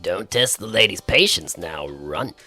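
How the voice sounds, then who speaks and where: A man playing a folksy, ornery old alien regular in a comedy audio drama, with a raspy drawl and a teasing manner. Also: sits low, expressive, a little slow, sharp tone, soft background music, full character voice. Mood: scolding. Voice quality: gravelly, raspy.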